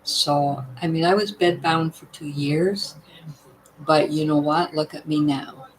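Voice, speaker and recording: A woman's voice: low voice, steady tone, a little slow, rough recording. An older woman with a partly worn, weakened voice, chatting to her viewers at home in an emphatic way, often asking "you know what?". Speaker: vlogger sharing health tips. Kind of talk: casual talk to viewers.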